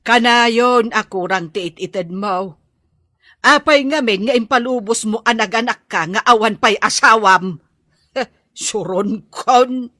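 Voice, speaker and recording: Stern older mother, slow and cutting, weary reproach, Ilocano audio drama female actor. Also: on the high side, bright, forceful tone, dry recording, clean studio sound. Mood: scolding. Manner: reproachful, scolding.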